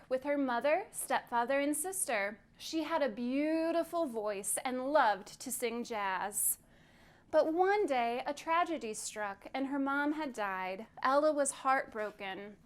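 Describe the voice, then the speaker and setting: A woman leading a virtual library storytime, telling a story to children gently and clearly and inviting them to count along. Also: high-pitched.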